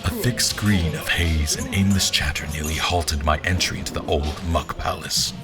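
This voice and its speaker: A man's voice: very deep voice, fairly even intonation, moderate pace. A man playing a wary, brooding general who narrates his own fantasy tale with a wry, literary turn. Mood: wry, disgusted. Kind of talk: scripted first-person fantasy narration. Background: music.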